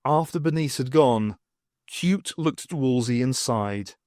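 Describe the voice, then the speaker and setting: Man narrating with lively, swooping pitch. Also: a little slow, no room sound, studio quality. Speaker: audiobook narrator. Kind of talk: scripted dramatic narration of fiction.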